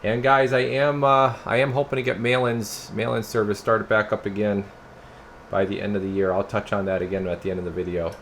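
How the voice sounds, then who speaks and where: A man's voice: lowish voice, steady tone, a little fast, fairly bright tone, a little hiss or hum. A man giving a casual hands-on product demo in an informal, rambling way, talking to viewers as guys. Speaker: radio repairer and product reviewer.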